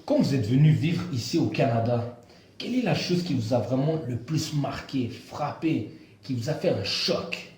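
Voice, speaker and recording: A man's voice: mid-range voice, animated, moderate pace, darkish tone, slight background noise. Polite male interviewer in an echoey room. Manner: formal, hesitant with fillers.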